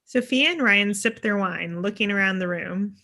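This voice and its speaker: Bright-voiced woman reading stage directions. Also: medium pitch, normal speed. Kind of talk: scripted narration of screenplay directions.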